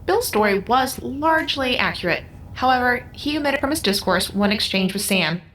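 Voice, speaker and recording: A woman's voice: on the high side, animated, moderate pace, fairly bright tone, slight background noise. A woman playing a formal, literal artificial being in an audio drama, speaking in a precise, stilted, unemotional way. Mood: calm, detached.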